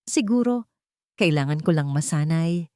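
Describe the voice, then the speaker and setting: A woman's voice: deep voice, expressive, measured pace, darkish tone, no room sound, studio quality. A woman storyteller voicing a humble elderly mother who speaks meekly and tenderly. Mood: self-consoling.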